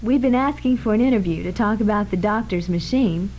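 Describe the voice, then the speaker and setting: A woman's voice: medium pitch, a little fast, highs cut off, natural voice. A woman playing a persistent, curious newspaper reporter in an amateur radio drama, asking direct questions. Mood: cooperative.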